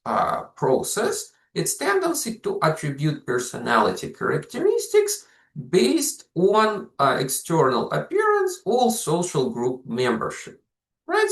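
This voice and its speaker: Russian-accented man lecturing, lively pitch. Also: roomy sound. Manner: explanatory, uses frequent "so", "uh" and "like". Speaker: psychology lecturer. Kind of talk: university lecture.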